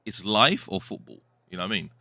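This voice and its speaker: A man's voice: low-pitched, expressive, fast talker, gravelly, sharp tone, no echo. A man co-hosting a live futsal stream, chatting casually and reading viewer comments aloud. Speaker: host and co-commentator.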